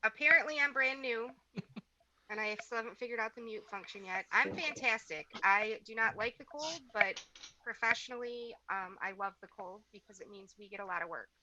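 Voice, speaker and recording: A woman chats casually as a podcast co-host. Her voice is strikingly bright. The recording sounds dull and lo-fi. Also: fairly high pitch, normal speed, slight background noise. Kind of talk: casual chat.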